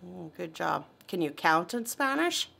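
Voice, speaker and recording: A woman's voice: on the low side, very animated, measured pace, creaky, sharp tone. A young adult woman chatting playfully and casually with a small child, coaxing and prompting with simple questions like a mother.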